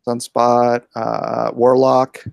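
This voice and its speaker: A man's voice: medium pitch, monotone, extremely slow, vocal fry, dry recording, clean studio sound. A man in his forties, born in Hawaii and raised in California, talking casually in a blunt, opinionated way. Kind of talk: casual chat.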